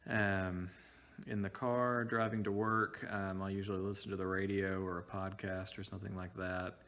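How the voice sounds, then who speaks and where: Low-voiced man in his twenties, plain answers. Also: flat delivery, moderate pace, a bit bright. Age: 20s. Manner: plain, many um fillers. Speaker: focus group participant. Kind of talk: casual interview answers.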